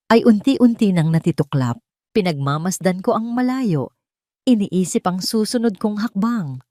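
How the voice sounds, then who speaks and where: A woman's voice: lowish voice, a little mellow, no room sound, studio quality. A woman reading a dramatic first-person story as an audio narrator.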